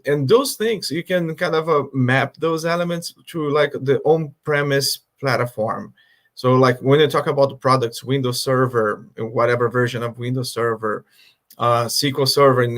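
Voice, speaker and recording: Man presenting slides on a call. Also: medium pitch. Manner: hesitant, filler-heavy explaining. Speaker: guest speaker. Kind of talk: online conference presentation.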